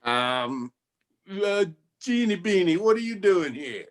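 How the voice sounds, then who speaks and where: A man's voice: high voice, big pitch swings, slow, exaggerated voice. A man playing a wisecracking, streetwise 1940s private detective in a campy radio noir style. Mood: flustered, pleading. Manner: slangy, wry. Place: live community theatre stage performance.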